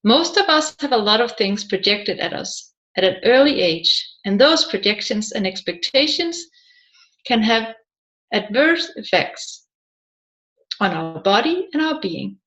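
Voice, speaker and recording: High-pitched woman narrating an audiobook. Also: big pitch swings, a little slow, fairly bright tone, low-quality recording.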